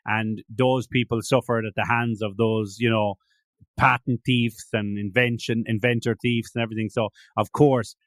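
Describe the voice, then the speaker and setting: A man's voice: lowish voice, fairly even intonation, normal speed, dry recording. Rambling Irish man hosting, dry sound. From Ireland. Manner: rambling, many restarts and fillers. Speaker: podcast host. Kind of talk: casual interview chat.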